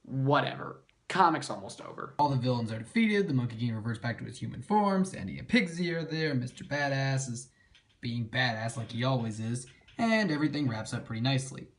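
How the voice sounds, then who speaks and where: A man's voice: medium pitch, animated, a little fast. A man hosting a scripted comedic review, narrating in a sarcastic, mildly profane way. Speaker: comic book reviewer and host.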